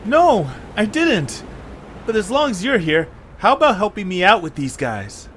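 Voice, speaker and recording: Through a noisy home recording comes an excitable young adventurer, acted by a man in an audio drama. The voice sits fairly high for a male and swings widely, earnest and full of exclamation. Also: measured pace, heavily acted. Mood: puzzled. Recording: clear background hiss and noise.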